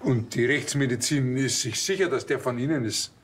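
A man's voice: mid-range voice, moderate pace, a little hiss or hum, close to an everyday voice. A man acting as a sly village police officer with a Bavarian accent and a casual, rambling manner. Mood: probing.

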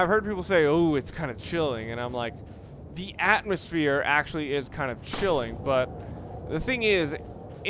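A man's voice: fairly high pitch, a bit bright. A man giving casual, rambling commentary on a video game, often repeating and rephrasing himself. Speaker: Let's Play commentator. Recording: noisy, game sounds behind the voice. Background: video game ambience.

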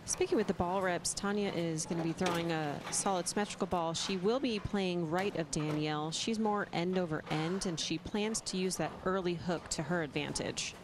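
A woman with a lowish voice gives a short, informative sideline report. Steady background noise sits behind her. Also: moderate pace, few pauses. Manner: informative, reporting what players plan. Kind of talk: broadcast report.

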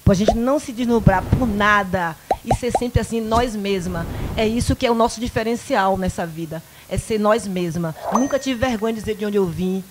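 A woman in her fifties from the Bahia countryside with a Bahian Brazilian accent, a guest in a small local studio interview, telling lively personal stories into a handheld mic and acting out the dialogue. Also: mid-range voice, normal speed, few pauses, background noise. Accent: Bahian Brazilian Portuguese. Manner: animated, acts out quoted dialogue, frequent "né?". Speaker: guest, event producer. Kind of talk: casual interview storytelling.

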